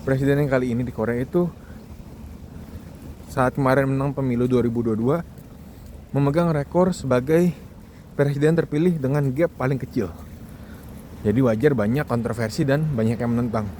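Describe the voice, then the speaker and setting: A man's voice: medium pitch, steady tone, brisk, pauses often, warm tone. Young Indonesian man vlogging over street noise. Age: young adult. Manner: informal, conversational, addresses the audience with questions. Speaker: vlogger, foreign student. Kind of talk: casual monologue commentary to viewers.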